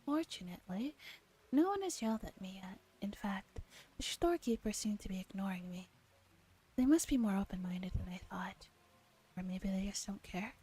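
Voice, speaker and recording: A woman streamer reading a visual novel aloud in a soft ASMR style, often dropping to a whisper. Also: mid-range voice, lively intonation, moderate pace, slightly rough. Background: background music.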